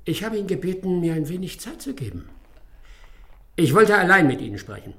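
A man acting a smug, courteous English gentleman detective in a German radio drama, dryly ironic and savouring each phrase. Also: high-pitched, big pitch swings, brisk, full character voice. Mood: businesslike, determined.

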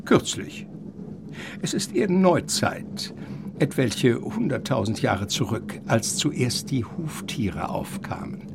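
A man's voice: mid-range voice, very animated, creaky, noisy background, exaggerated voice. An actor playing an eccentric, courteous older professor in a German radio play, lecturing with rapture and savoring his words. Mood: musing, instructive. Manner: theatrical, savoring words, halting then surging. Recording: music behind the voice.

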